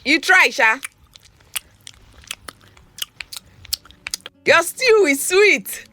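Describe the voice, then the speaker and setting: An actress with a Nigerian accent playing a frank, teasing young confidante who prods in naturalistic audio drama style. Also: female voice, very high voice, slow, slight background noise, full character voice. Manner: expressive, frank, prodding. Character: blunt, teasing young woman, close confidante.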